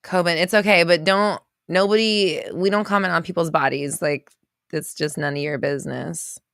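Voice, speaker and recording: A woman in her thirties chats through a casual livestream, reading viewers' comments aloud and reacting with quick laughs and exclamations. Her voice sits a little low for a woman. The sound is dry and close, with no room to it. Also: fairly even intonation, creaky, fairly bright tone, dry recording. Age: 30s.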